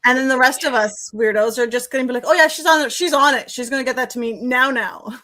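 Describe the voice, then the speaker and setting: A woman's voice: sits high, fast, sharp tone. A woman chatting casually and playfully as a livestream host.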